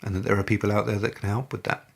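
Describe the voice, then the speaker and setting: A middle-aged man with an English accent, a guest in a podcast interview, speaking in a thoughtful, measured way with many fillers and restarts. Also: lowish voice, flat delivery, vocal fry, breathy edge. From England. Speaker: guest, business leader.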